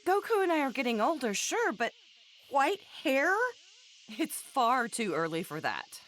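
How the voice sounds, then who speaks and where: A woman's voice: high-pitched, big pitch swings, unhurried, fairly bright tone, a little hiss or hum, heavily acted. A woman voicing an exasperated, nagging housewife and mother in an anime video game dub, with fretful, scolding delivery. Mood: disapproving. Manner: fretful, scolding, dramatic complaining. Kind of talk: English-dubbed anime game voice acting.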